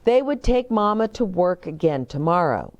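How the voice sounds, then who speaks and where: An older woman reading a picture book aloud and speaking deliberately and simply, as if to small children. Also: fairly low pitch, very animated, lo-fi sound. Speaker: children's storytime reader and host. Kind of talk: expressive picture-book read-aloud with asides to young children. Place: indoor bookshop-like set with shelves, seated in armchair.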